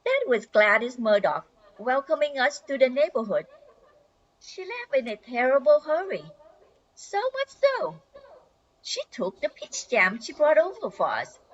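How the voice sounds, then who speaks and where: An older woman playing a polite suburban housewife greeting neighbors in a radio comedy, expressive, on a dull recording. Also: sits high, unhurried, a little hiss or hum, full character voice. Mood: knowing. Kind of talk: old-time radio comedy acting. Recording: dull lo-fi sound.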